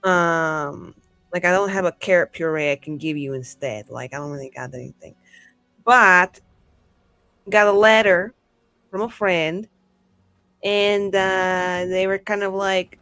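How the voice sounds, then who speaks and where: A woman's voice: on the low side, unhurried, long pauses, a bit bright, smooth, dry recording. Woman playing a ttrpg, rambly wry casual talk, swears a bit, takes her time, faint music under. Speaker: player in a tabletop roleplaying game. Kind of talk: casual improvised roleplay chat.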